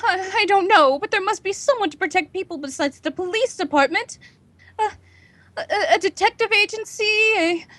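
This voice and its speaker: An actress playing a nervous, imperious housewife on edge, in a melodramatic 1940s radio drama style. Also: female voice, very high voice, moderate pace, a bit bright, no echo. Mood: desperate, panicked. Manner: haughty, melodramatic, agitated. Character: nervous, imperious, panicking housewife alone at night.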